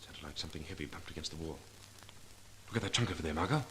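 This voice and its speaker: A man's voice: extremely low pitch, animated, racing, heavy background noise. An actor playing a bold, cool-headed male sleuth in a clipped, authoritative 1940s radio drama style. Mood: hushed, wary. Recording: vintage 1940s radio recording.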